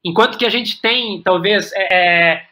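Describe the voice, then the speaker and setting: High-voiced, bright Brazilian man, thinking aloud. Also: a little slow. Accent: Brazilian Portuguese. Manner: hesitant, searching, repeats words while thinking aloud. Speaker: guest playwright and director. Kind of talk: reflective podcast interview talk.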